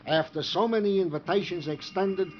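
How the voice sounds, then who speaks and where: An actor playing a fussy, affectionate police sidekick in a 1950s radio drama, wordy, formal and gently nagging. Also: male voice, high voice, moderate pace, close to an everyday voice. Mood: fussy, coaxing. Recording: muffled, faintly noisy old radio recording.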